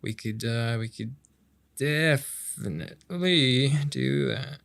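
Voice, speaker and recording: Male actor as boyish best-friend love interest for romantic ASMR roleplay; French Canadian accent, medium pitch, casual, playful, halting delivery. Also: creaky, a bit bright, smooth. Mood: awkward, apologetic. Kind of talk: intimate romantic ASMR roleplay, improvised-sounding casual chat.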